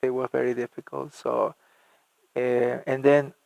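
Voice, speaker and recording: A middle-aged man from Argentina, a church music minister telling his life story in an interview, halting with many fillers and restarts. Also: mid-range voice, flat delivery, gravelly, darkish tone, dry recording, poor audio.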